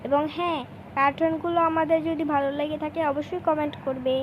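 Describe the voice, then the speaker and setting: A woman's voice: sits high, steady tone, fast talker, muffled recording, slight background noise. A woman presenting a children's cartoon channel, speaking casually and directly to her viewers.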